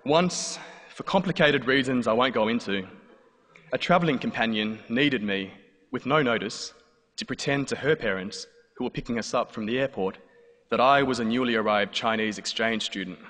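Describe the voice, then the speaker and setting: A man's voice: mid-range voice, moderate pace, fairly bright tone. A man in his thirties with an Australian accent, telling a comic personal story on stage in a dry, wry way. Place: large hall before a live audience. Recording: live hall with long reverb, faint noise.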